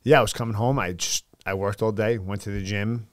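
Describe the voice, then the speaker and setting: A man in his thirties from the Bronx with a New York accent, telling his story as a podcast guest in a studio on a boom mic, animated with quick asides. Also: no room sound. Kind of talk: casual storytelling. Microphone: studio mic on boom arm, headphones.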